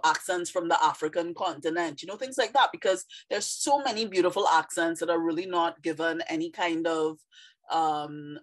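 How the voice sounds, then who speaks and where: A woman in her thirties from Trinidad and Tobago with a Trinidadian accent, talking casually and reflectively about teaching English, with frequent fillers. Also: lowish voice. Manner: reflective, conversational with many fillers. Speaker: vlogger, former ESL teacher. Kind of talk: casual monologue to camera.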